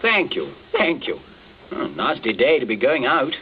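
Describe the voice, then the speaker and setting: Bluff British captain, lively intonation. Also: male voice, medium pitch, unhurried. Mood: bluff, sociable. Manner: clipped, blustering, repeats himself. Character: bluff, hearty British captain, jealous neighbourly suitor. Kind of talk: old-time radio drama acting. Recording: dull, noisy vintage radio recording.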